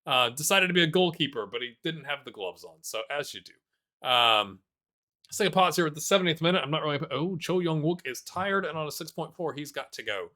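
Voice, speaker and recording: A man casually commentating over his own football management game, chatty and self-deprecating, muttering asides and then erupting with excitement at the big moments. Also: a little high, fairly quick. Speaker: gaming YouTuber playing a football manager game.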